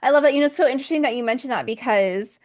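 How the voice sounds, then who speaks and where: A woman's voice: high-pitched, racing, a little mellow. A woman hosting a podcast interview, encouraging and upbeat as she asks questions. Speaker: podcast host. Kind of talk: casual interview talk.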